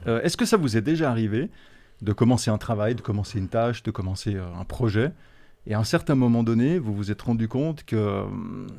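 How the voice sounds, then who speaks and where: Casting: male host, mellow tone, halting and chatty. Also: lowish voice, lively intonation, creaky. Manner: hesitant, many fillers and restarts. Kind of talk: hosting a Bible study discussion.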